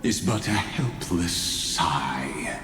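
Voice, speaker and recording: An actor playing a cold, arrogant genius villain in a video game, speaking in a theatrical, condescending manner. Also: male voice, sits low, lively intonation, very slow, exaggerated voice. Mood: cold, dismissive. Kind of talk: dramatic game voice acting. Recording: echoey long reverb under clear music.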